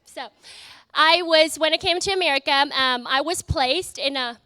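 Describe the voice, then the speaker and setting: A young woman from Vietnam with a Vietnamese accent, giving an earnest, halting personal testimony to an audience, full of fillers and restarts. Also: high voice, bright, forceful tone, no echo. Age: young adult. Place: event in front of an audience.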